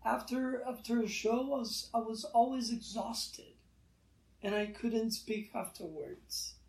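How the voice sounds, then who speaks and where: Slow, halting man in a roomy space. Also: very high voice, fairly even intonation, a little mellow, far from the mic. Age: 30s. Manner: halting, many restarts, earnest. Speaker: guest artist. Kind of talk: reflective interview talk.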